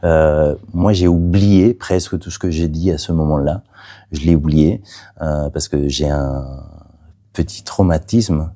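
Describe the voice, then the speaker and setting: Man, native Catalan speaker, very low pitch, mellow dark tone, halting speech, soft trailing endings. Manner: hesitant, many fillers and restarts. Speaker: playwright. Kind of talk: interview about his play.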